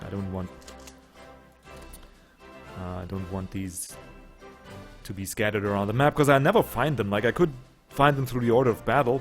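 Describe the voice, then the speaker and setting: Lowish-voiced non-native English gamer man. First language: not English. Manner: thinking aloud, talks to his units, joking. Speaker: let's play host. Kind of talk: casual gameplay commentary.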